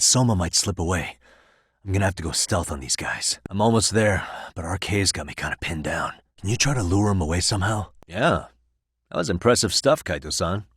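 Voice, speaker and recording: A man's voice: sits low, fairly bright tone, husky, no room sound, studio quality, full character voice. A man with an American accent voicing a cool, determined private detective in a video game, speaking in a casual, wry, conversational way. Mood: focused, casual. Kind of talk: video game voice acting, naturalistic dialogue.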